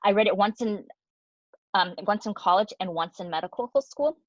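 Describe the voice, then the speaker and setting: A woman in her forties giving a conversational online talk as a guest physician, lively and chatty with fillers. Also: medium pitch, normal speed, dry recording. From United States. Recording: dry, dull lo-fi audio.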